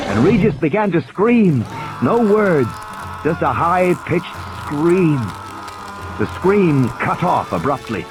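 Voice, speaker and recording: A man reading dramatic scripted narration for an audiobook, with music behind him. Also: medium pitch.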